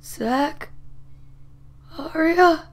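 A woman's voice: high voice, very slow. A woman playing an anxious, nerdy young boy in a fan audio drama, urgent and pleading. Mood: nervous, hushed.

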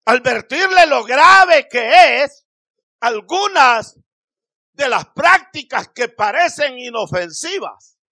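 A middle-aged male preacher delivers a sermon into a handheld mic in a small church hall. His voice is bright and forceful and moves slowly, stretching each phrase. His pitch swings widely and he breaks into shouts for emphasis. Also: sing-song. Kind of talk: Spanish-language Pentecostal sermon. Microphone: handheld microphone.

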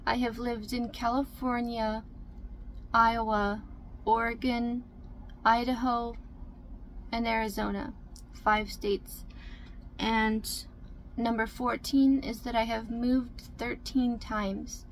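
A young woman in her twenties chats to the camera from a small camper, soft-spoken and easygoing, with lots of ums as she checks her notes. Her voice sits a little high and stays quite even in tone. A faint hiss lies under the close recording. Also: takes it slowly, long pauses, low-quality recording. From Canada. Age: 20s. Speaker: vlogger. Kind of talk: casual get-to-know-me talk to camera. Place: small room inside a camper. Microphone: camera in front at close range.

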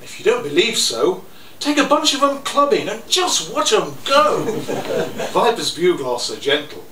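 An older man giving a slow, theatrical reading of his own poems at a live cafe event, chuckling between pieces, heard through a stand mic set off to the side. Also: high-pitched, very animated, crowd noise. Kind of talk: live poetry reading with asides. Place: small cafe room with an audience. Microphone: stand mic a little off to the side. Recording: roomy, distant camera audio with faint noise.

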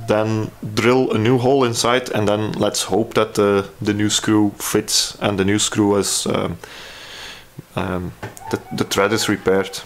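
A man with a fairly low voice gives a casual workbench walkthrough. He speaks hesitantly, thinking aloud as he explains what he is doing. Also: slight background noise. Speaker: hobby radio restorer presenting a repair. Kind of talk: casual hands-on repair walkthrough.

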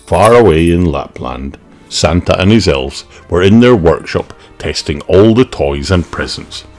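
A man's voice: low voice, expressive, unhurried, over music. A man reading a children's storybook aloud as narrator. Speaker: storybook reader.

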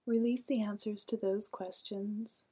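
A woman with a medium-pitched, airy voice guides a meditation. Her delivery is gentle and soothing, at times close to a whisper, and she leaves generous space between her calm, unhurried phrases. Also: husky.

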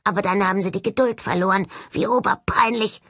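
A woman's voice: medium pitch, steady tone, a little fast, a bit bright, no room sound, studio quality, pitched above the performer's own voice. Woman voicing a sullen, sardonic male skull ghost. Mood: indignant. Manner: grumbling, mocking, indignant. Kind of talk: audiobook character voice.

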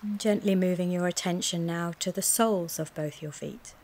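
A woman wellness coach leads a guided mindfulness body scan in a gentle, soothing, measured way, speaking in short phrases with pauses. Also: on the low side. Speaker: wellness coach guiding a relaxation session.

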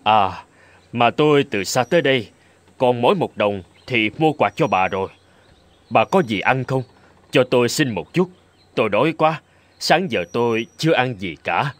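Man playing an animated, dignified nobleman poet. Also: medium pitch, normal speed, no room sound, lightly acted. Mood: pleading. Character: dignified, amiable middle-aged court poet lord. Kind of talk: Vietnamese animated folk tale dialogue.

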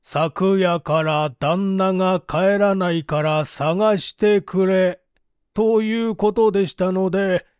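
A man voicing a humble, deferential village manservant in his forties, testifying haltingly in a mystery audiobook. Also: sits high, darkish tone, clear, resonant tone, dry recording, clean studio sound. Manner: slow, halting, measured testimony. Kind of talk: audiobook reading of a vintage mystery.

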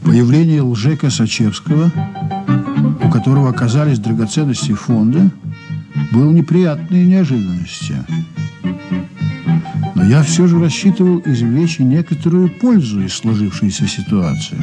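An actor playing a polite, patient, probing investigator with a husky voice in a 1980s Soviet radio play. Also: male voice, medium pitch, animated, normal speed, over music, natural voice. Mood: measured, polite. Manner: polite, questioning, hesitant probing.

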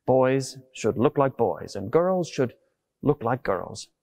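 Middle-aged male preacher, animated pitch. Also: takes it slowly, vocal fry. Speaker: preacher, Anglican clergyman. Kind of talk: sermon, measured scripted delivery. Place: inside a large stone church. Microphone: lapel mic.

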